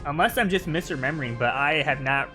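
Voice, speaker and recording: Chatty guy doing casual game commentary, voice a bit high, music clearly playing behind him. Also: animated, brisk, bright, forceful tone. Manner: informal, chatty. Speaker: gaming video commentator.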